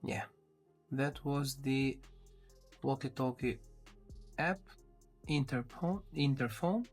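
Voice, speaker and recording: A man's voice: medium pitch, slow pace, soft background music. A man giving an informal, step-by-step tech review commentary as he handles a device. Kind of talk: casual unboxing walkthrough commentary.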